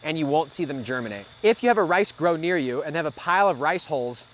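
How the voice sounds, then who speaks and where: A man's voice: a little high, animated, fast talker, a bit bright, a little hiss or hum. A man co-hosting a casual Q&A, explaining growing practices in a practical, folksy way.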